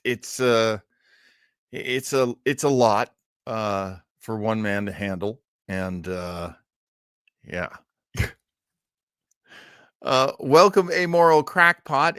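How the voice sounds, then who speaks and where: A man's voice: medium pitch, a bit bright, dry recording, clean studio sound. A man hosting a live show in a casual, rambling way, self-deprecating and scattered, often hesitating as he goes. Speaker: livestream host.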